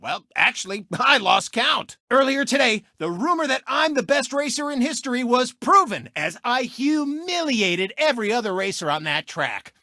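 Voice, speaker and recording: A smug cartoon race car presenting his own racing show in a video game. The voice is high and bright, swooping widely as he brags and sneers like a showboating sportscaster. It is recorded very dry and close. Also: big pitch swings, moderate pace, creaky, hardly any pauses, no room sound, heavily acted. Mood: smug, dismissive. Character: boastful, smug male race car turned TV show host.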